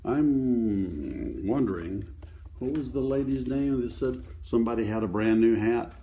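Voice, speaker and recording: A man's voice: medium pitch, expressive, a little slow, warm tone, slight background noise. An older man reading a children's book aloud, adding simple conversational asides to explain the pictures. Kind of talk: read-aloud storytelling with informal asides to children.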